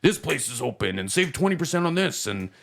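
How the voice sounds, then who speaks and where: A man's voice: fairly high pitch, sing-song, a little fast, creaky. A man chatting casually as a podcast host, with informal slang and an emphatic, opinionated way of talking. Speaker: podcast host and reaction video creator.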